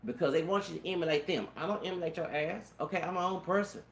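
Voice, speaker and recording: A man in his thirties with a feminine-sounding, gravelly voice rambles through a personal story. He stumbles over repeated words and curses. The recording has a roomy echo with faint background noise. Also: high-pitched, quick pace. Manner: repetitive stammered restarts, profanity, frequent "you know what I'm saying".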